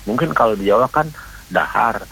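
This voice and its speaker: A man with a low voice speaks as a radio caller over a faintly hissy line. He rambles earnestly, repeating himself and halting as he urges his point. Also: lively intonation, creaky, pushed and bright, poor audio. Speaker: radio call-in listener. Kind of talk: rambling earnest opinion talk.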